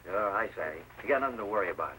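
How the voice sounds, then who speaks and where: A man's voice: lowish voice, expressive, rapid-fire, sharp tone. An actor playing a sly, willing outlaw henchman in an old radio western, with glib, folksy frontier talk. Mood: eager, compliant. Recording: faintly noisy old radio recording.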